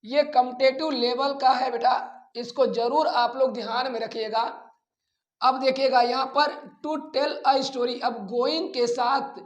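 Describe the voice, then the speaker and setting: Slow-speaking male teacher, echoey room. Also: extremely high pitch, steady tone, dull audio. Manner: deliberate, repeats phrases for emphasis; instructive, addresses students directly. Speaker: English grammar teacher. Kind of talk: classroom-style grammar lesson. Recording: echoey, roomy sound.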